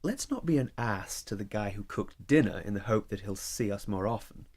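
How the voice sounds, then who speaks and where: Evasive workaholic boyfriend in an audio play, measured and emphatic, natural delivery. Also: male voice, on the low side, animated, darkish tone, slightly rough. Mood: defensive, insistent. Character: career-driven male lover, evasive and defensive. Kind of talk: naturalistic audio drama acting.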